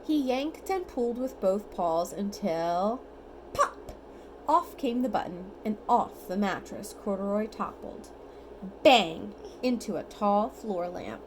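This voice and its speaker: A woman reading a bedtime storybook aloud in an expressive, child-directed way, on an amateur home recording. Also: medium pitch, slow pace, a little hiss or hum, low-quality recording. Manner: animated, child-directed, asks the child simple questions.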